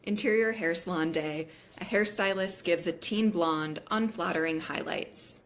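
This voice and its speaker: A woman's voice: on the low side, a little slow. A woman reading the stage directions of a script aloud as scripted narration at a table read.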